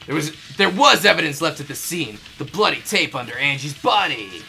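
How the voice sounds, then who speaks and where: Man acting an earnest, forceful boy detective. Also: fairly high pitch, sing-song, moderate pace, gravelly, exaggerated voice. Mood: explaining. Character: earnest teenage boy detective building an accusation. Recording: game music behind.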